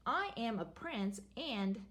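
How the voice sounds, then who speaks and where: A woman's voice: a little high, big pitch swings, takes it slowly, a bit bright, heavily acted. A woman voicing a young male frog prince who pleads in a flustered rush and trips over his words. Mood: pleading.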